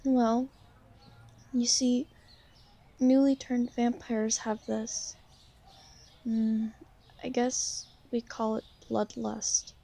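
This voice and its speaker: Woman as a slow, hesitant young vampire girl. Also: a little high, level tone, creaky, heavily acted. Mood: uneasy. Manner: hesitant, halting, soft-spoken, apologetic. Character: insecure young female vampire, gentle, shy, newly turned. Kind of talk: ASMR roleplay, intimate one-sided conversation.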